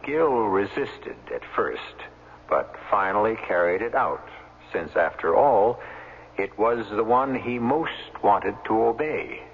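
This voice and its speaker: A man's voice: lowish voice, lively intonation, slow pace, a little hiss or hum. A man hosting and narrating a radio mystery program in a formal, theatrical storytelling style. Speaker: host and narrator. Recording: tinny 1970s radio broadcast, music behind.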